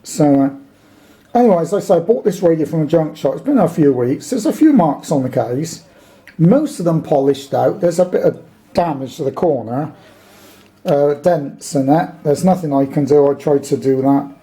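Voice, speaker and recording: A man's voice: a little high, mellow. A man talking casually and hesitantly in a small room at home, rambling in a plain-spoken way as he shows off his hobby gear. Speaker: hobbyist presenter. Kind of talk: casual, rambling show-and-tell of a vintage radio. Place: small dim room in a house.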